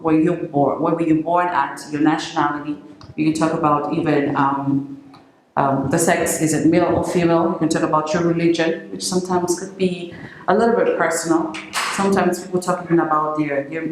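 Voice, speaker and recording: Female English teacher for home learners, slightly low pitch, didactic and question-led, heavily reverberant room. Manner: didactic, repeats phrases and asks rhetorical questions. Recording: very echoey room with faint noise.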